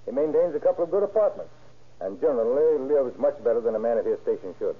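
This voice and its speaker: Husky, businesslike narcotics bureau chief. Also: male voice, mid-range voice, expressive. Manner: measured, matter-of-fact, authoritative. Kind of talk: 1930s radio drama acting. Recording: husky-sounding, very narrow 1930s radio recording.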